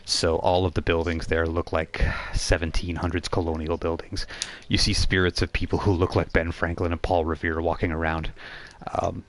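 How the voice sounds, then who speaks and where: A man narrating a tabletop role-playing game as game master, describing scenes in a halting, improvised way. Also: low-pitched, little pitch movement.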